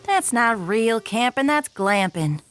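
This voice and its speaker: A woman's voice: a little high, animated, slow pace, fairly bright tone. A woman playing a brash, playful space adventurer in an audio drama, with a teasing, breezy manner.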